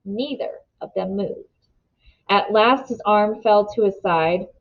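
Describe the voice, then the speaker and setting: A woman narrating a children's novel aloud in an expressive storybook style, on a slightly muffled recording. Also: medium pitch, takes it slowly. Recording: slightly dull, muffled sound.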